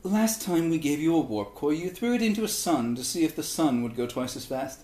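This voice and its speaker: A man's voice: a little high, lively intonation, a little fast, darkish tone, lightly acted. Man acting out an exasperated alien scientist, echoey. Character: exasperated alien Vulcan scientist, resigned and wry. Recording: echoey, roomy sound.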